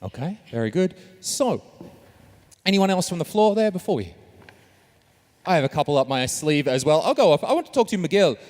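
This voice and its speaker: Lively, joking male conference moderator. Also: on the high side, fairly quick, pauses often. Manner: upbeat, jokey, chatty. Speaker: panel moderator.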